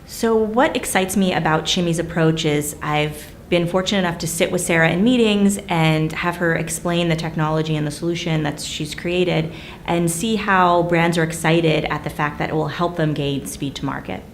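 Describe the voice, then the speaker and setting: A woman's voice: fairly low pitch, moderate pace, slight background noise. A woman, a managing director, speaking in a polished, professional way in a documentary interview.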